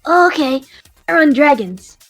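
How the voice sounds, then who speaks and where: A woman's voice: high-pitched, very animated, a little slow, fairly bright tone, soft background music, full character voice. A woman voicing a friendly, easygoing dragon who is eager to play cards. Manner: easygoing, eager card-game enthusiast. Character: friendly card-playing dragon, casual and eager. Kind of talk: fan audio drama acting.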